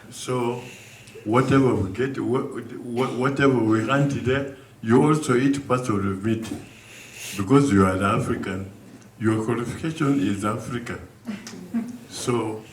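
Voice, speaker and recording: An older man from Uganda, speaking earnestly to a community gathering in halting, restarting sentences. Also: medium pitch, slight background noise. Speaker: guest speaker. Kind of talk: community speech. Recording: echoey room from far off.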